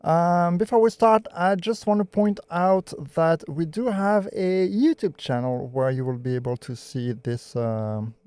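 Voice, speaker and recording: A man from Canada hosting a live podcast in casual chat, relaxed and playful. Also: on the high side, unhurried, few pauses, clear, resonant tone, no room sound. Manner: relaxed, playful, thanks viewers warmly. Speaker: podcast host.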